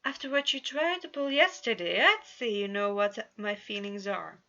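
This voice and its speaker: A woman's voice: mid-range voice, normal speed, pushed and bright, dull audio. A woman voicing a dreamy, theatrical young prince in love, in a story reading. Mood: earnest. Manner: theatrical, sighing.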